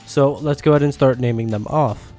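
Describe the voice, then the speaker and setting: A man's voice: medium pitch, brisk, warm tone. A man hosting a gaming update video, talking in a casual, informal, explanatory way. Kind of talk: casual game update commentary. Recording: music behind the voice. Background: background music.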